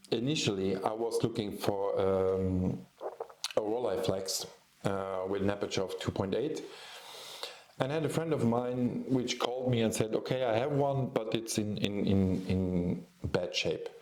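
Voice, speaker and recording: Slow, deep-voiced man from Luxembourg. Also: a little mellow, reverberant. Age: 40s. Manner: hesitant, many ums and restarts. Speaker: photographer and YouTube host. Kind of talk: casual vlog talk.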